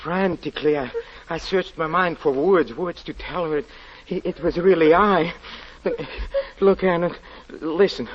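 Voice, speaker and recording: An actor playing a haunted, desperate husband confessing a terrifying tale in halting, repetitive, melodramatic 1940s radio style. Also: male voice, a little high, close to an everyday voice. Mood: pleading, desperate. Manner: halting, repeats words, intensely melodramatic. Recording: muffled, noisy vintage 1940s radio recording.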